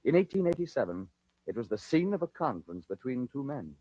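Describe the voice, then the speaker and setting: An American man narrating a 1940s radio drama as host, in a theatrical, measured storytelling style. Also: mid-range voice, very animated, mellow, dry recording. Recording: dull lo-fi 1940s radio recording.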